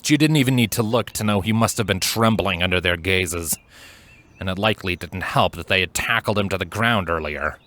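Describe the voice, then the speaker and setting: A man reading a story aloud as narrator, in an expressive, measured, dramatic storytelling style. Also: sits low. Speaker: fan fiction narrator. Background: background music.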